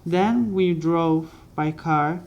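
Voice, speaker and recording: A young man casually narrating his life story over drawings, halting often and correcting himself as he goes. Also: a little high, darkish tone, dull audio. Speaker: vlogger.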